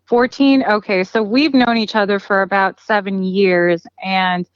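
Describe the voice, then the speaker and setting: A woman's voice: mid-range voice, fairly even intonation, unhurried, clean tone, no echo, muffled recording. A woman in her thirties, a guest talking casually about a friend, full of "like" and fillers and often laughing. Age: 30s. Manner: chatty, lots of "like" and laughter. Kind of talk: casual interview.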